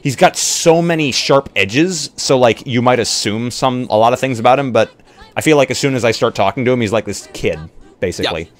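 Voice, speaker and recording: A man playing in a casual tabletop roleplay, speaking in a hesitant, halting way as he improvises. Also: mid-range voice. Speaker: roleplay player.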